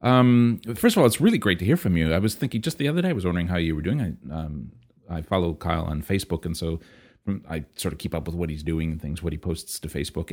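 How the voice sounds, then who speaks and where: A man with a very low, husky voice talks casually into a podcast microphone. His delivery is rambling and conversational, and he often interrupts himself. The scratchiness in his throat gives the words a rough edge. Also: darkish tone. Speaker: podcast host. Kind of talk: casual solo podcast chat.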